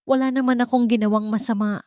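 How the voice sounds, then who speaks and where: A woman's voice: fairly high pitch, steady tone, fast talker, a little mellow, no echo, voice pushed up, lightly acted. A woman voicing an incredulous, protesting mistress in a story. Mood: shocked. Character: shocked mistress protesting her innocence.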